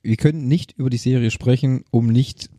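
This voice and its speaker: A man's voice: medium pitch, fairly even intonation, a little fast, dark tone, dry recording. A man, chatting casually and colloquially as a podcast co-host, with a joking manner. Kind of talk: casual unscripted podcast chat.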